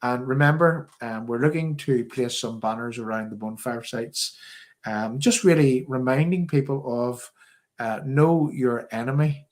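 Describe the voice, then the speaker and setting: Man, Northern Irish accent, mid-range pitch, livestream host, unscripted political commentary, opinionated, repetitive emphasis. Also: a little slow. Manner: opinionated, repeats phrases for emphasis. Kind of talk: live political commentary, off the cuff.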